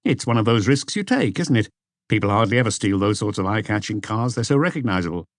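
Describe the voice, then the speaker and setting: A man's voice: animated, quick pace, no echo, clean studio sound, natural voice. A man voicing an uneasy, evasive boyfriend in an audiobook, hesitant and defensive as he answers questions. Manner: hesitant, defensive, higher-pitched.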